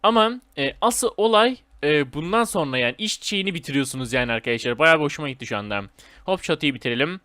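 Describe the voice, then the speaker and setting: A man hosting a gaming video with casual, excited commentary, speaking in slangy, repetitive phrases and addressing viewers as friends. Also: fairly high pitch, fast, dry recording.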